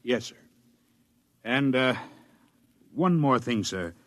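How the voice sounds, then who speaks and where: A deferential engineer, dry sound. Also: male voice, medium pitch, lively intonation, slow pace, fairly bright tone, no echo. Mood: deferential, careful. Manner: respectful, hesitant, explanatory. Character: cautious, deferential male chief engineer serving a tycoon. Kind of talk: old-time radio drama acting.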